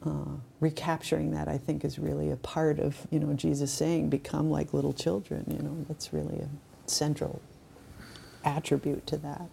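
Low, creaky-voiced woman co-host. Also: level tone, moderate pace, dark tone, a little hiss or hum. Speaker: podcast co-host. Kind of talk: casual reflective conversation.

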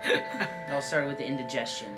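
A woman's voice: very deep voice, moderate pace, over loud music. A woman co-hosting a podcast, joking and interjecting in playful improvised group storytelling. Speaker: podcast co-host.

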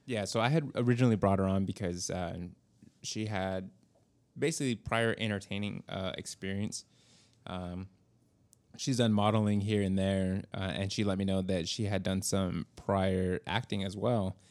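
A man's voice: low-pitched, fairly even intonation, moderate pace, a little mellow. A man hosting a podcast in casual, informal and enthusiastic chat, swearing freely. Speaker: podcast host and filmmaker.

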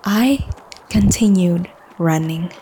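A woman acting a hurt, bitter young woman in an audio drama, speaking emotionally and often in a breathy hush. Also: on the low side, takes it slowly. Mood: wounded, bitter. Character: hurt, bitter young woman confronting the man she loves. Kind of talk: first-person audio drama narration and dialogue. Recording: noticeable background noise and ambience.

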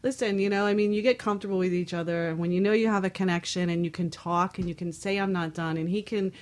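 A woman's voice: on the low side, fairly even intonation, quick pace. A woman talking candidly on a panel show, blunt and outspoken as she speaks in conversation.

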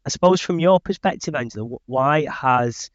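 A man's voice: mid-range voice, normal speed, dry recording. A man hosting a webinar interview over a video call, with meandering phrasing and a habit of saying "I suppose". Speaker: host and recruitment director. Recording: dull, dry lo-fi call audio.

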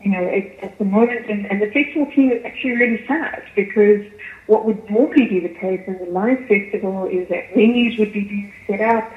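A woman with a South African accent giving articulate, measured answers as a guest in a radio interview. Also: medium pitch, reverberant, narrow, tinny audio. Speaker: arts festival chief executive, interview guest.

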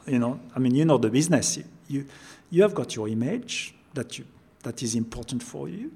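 A man's voice: medium pitch, lively intonation, brisk, vocal fry, warm tone, breathy edge. An older man with a French accent, a chef answering interview questions, often repeating words as he searches for phrasing. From France. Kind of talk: interview conversation.

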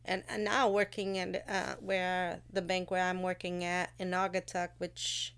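Woman, Portuguese accent, unhurried, laughs easily, gropes for words, casual chat. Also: lowish voice, level tone, a bit bright, clean tone. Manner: laughs often, searches for words. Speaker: podcast guest, host's wife. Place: same room as host, recording studio setup.

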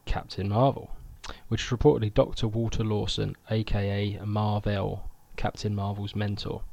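A man's voice: on the low side, little pitch movement, moderate pace, gravelly, dark tone, dry recording, lo-fi sound. A man hosting a casual podcast, chatting and reading out news items. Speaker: podcast host.

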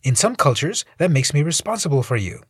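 A man doing a quippy young superhero guy, breezy jokes, lively up-and-down voice, a bit husky. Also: a little high, a little fast, dry recording, studio quality, voice pushed up. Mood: friendly. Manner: jokey, breezy quips. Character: wisecracking young male superhero, upbeat.

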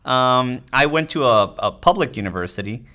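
A man's voice: mid-range voice, expressive, normal speed, pushed and bright. A man from Mexico answering as a physician guest in a conversational interview, explaining things with frequent "you know"s. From Tijuana, Mexico. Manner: explanatory, often tagging points with "you know".

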